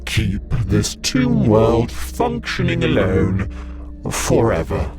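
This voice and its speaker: A weary, ancient machine caretaker, acted by a man, speaks in solemn, archaic phrases. The lowish voice drags extremely slowly and swings widely in pitch, with music clearly behind it. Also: wildly swooping pitch, exaggerated voice. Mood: weary pleading. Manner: slow, solemn, drawn-out archaic speech. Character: weary ancient robotic caretaker of a tomb world.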